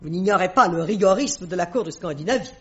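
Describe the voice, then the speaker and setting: A woman's voice: lowish voice, expressive, fairly bright tone. An actress playing a proud middle-aged queen mother in a French radio drama, speaking formally and haughtily on a dull old recording. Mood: grave, confiding. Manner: haughty, formal, measured diction.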